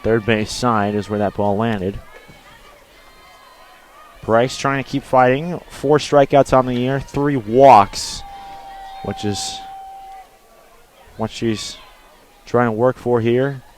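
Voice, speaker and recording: A man doing live radio play-by-play as an announcer, lifting into excited calls on big plays. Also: medium pitch, slow, pauses often, a faint crowd. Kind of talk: live radio sports commentary.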